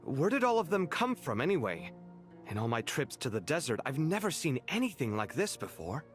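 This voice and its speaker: A man voicing an exasperated desert traveller in an anime game, talking in a casual, expressive way. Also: fairly high pitch, fairly quick, soft background music.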